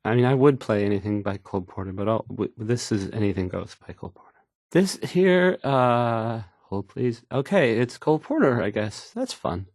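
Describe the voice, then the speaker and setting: A man's voice: on the low side, expressive, darkish tone, dry recording, clean studio sound. An actor playing an awkward, insecure young male radio DJ who stammers and gets flustered introducing songs. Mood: awkward, hesitant. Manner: halting, stammering, flustered, self-doubting. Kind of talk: stumbling radio song introductions.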